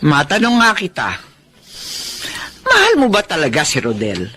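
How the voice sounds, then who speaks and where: An actress with a Filipino accent playing a sensible, concerned middle-aged mother in a radio drama, probing in a motherly way with a husky voice. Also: low voice, huge pitch swings, moderate pace, pushed and bright, full character voice. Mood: probing, admonishing.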